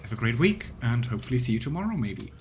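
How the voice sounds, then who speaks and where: A man's voice: medium pitch, very animated, fast talker. A man doing a casual game stream, reading narration aloud and musing between lines. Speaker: let's play streamer. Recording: noticeable background noise.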